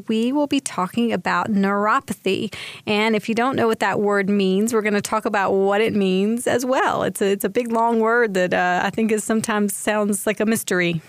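A woman co-hosting a radio health show, a smooth and friendly presenter. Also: mid-range voice, no echo. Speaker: radio host, family doctor.

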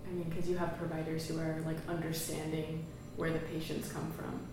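Woman, interviewer, friendly, lowish voice, noisy background. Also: monotone, a little fast. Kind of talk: casual interview. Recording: distant, very echoey, noisy room.

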